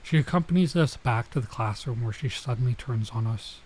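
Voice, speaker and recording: A man's voice: mid-range voice, fairly quick, a little mellow. Male let's player, middle register, casual read-aloud with loose commentary and stumbles, noisy recording. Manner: casual, reacts with asides and stumbles over lines. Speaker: streamer playing and reading a visual novel. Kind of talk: let's play, reading game text aloud with casual commentary.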